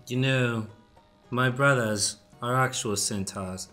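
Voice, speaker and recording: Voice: medium pitch, slow, a bit bright, faint music behind. A performer in an improvised comedy radio play, acting a touchy, awkward male reverse centaur who dithers theatrically. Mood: chatty.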